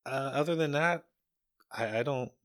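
A middle-aged man giving casual interview answers in a measured, halting way, often repeating words. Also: mid-range voice, a bit bright. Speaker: guest, parent.

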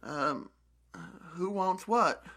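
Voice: medium pitch, animated, drawn-out words, bright, forceful tone, full character voice. A performer playing a hesitant, folksy male zombie survivor in an amateur audio drama, stammering and drawling, with faint noise behind.

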